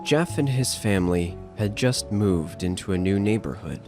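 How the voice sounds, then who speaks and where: A man's voice: on the low side, expressive, normal speed. A man narrating a scripted horror story as the narrator of an audio drama. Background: prominent music.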